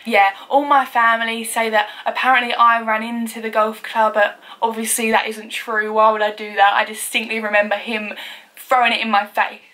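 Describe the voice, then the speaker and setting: A young woman vlogger from England talks through a list of facts about herself. Her voice is naturally loud and bright, a little higher than most. She chats casually, giggles and fills her talk with "like". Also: flat delivery, moderate pace. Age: young adult. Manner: chatty, uses "like" constantly, giggly.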